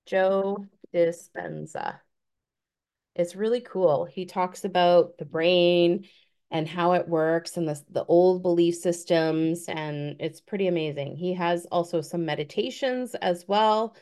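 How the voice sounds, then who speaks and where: A woman's voice: fairly low pitch, a little slow, creaky, dark tone. A woman in her fifties giving an earnest, encouraging motivational talk on a group call. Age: 50s. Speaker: guest speaker.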